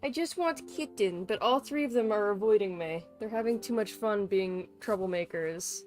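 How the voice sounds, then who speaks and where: Woman, casual game streamer: rambling, playful, sweary, mid-pitched, music underneath. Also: moderate pace, darkish tone. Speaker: livestreamer. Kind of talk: casual gaming stream chat. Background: music in the background.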